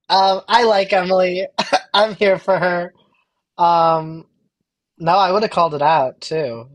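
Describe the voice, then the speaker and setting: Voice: mid-range voice, slow pace, poor audio. A podcast guest of indeterminate sex chatting casually, with amused, laid-back reactions. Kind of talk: casual chat.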